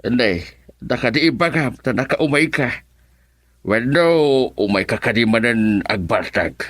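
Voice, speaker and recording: Jolly older uncle type, ilocano radio drama, slow drawn out talk, real animated. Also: male voice, mid-range voice, dry recording. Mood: welcoming. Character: jolly older man, a generous family money lender.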